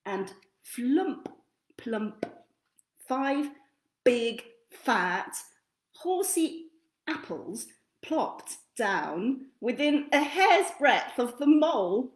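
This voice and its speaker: A woman's voice: on the high side, sing-song, drawn-out words. A young woman narrating a children's picture book expressively, recorded in a small room at home. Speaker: children's storytime reader. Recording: roomy, echoey webcam sound.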